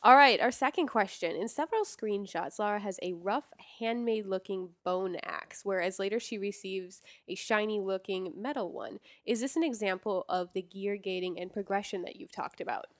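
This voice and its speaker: A woman interviewing a guest, asking quick, chatty questions in a casual way. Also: mid-range voice, no echo, clean studio sound.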